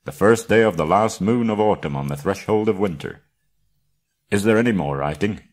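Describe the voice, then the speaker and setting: A man voicing a proud, gruff male dwarf leader in a storybook audiobook, speaking emphatically. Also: lowish voice, animated, normal speed. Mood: solemn, inquiring. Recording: cassette audiobook transfer.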